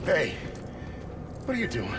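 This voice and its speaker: An actor playing a wary, exasperated cargo pilot in a sci-fi video game, defensive and agitated. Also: male voice, fairly high pitch, wildly swooping pitch, a little slow, very noisy. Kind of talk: naturalistic video game cutscene acting.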